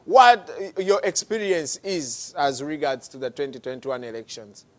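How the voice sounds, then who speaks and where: High-voiced, polite male interviewer. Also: normal speed. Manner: polite, formal. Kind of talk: interview questions.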